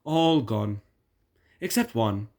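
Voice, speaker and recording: A man's voice: mid-range voice, lively intonation, takes it slowly, a little mellow. A man voicing a kindly railway boss in a children's storybook, consoling and matter-of-fact. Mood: sympathetic. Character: fat controller, kindly male railway boss.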